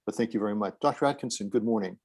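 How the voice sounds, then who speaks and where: A man's voice: on the low side, racing, a little mellow. A man moderating a video call panel, often repeating words as he introduces speakers.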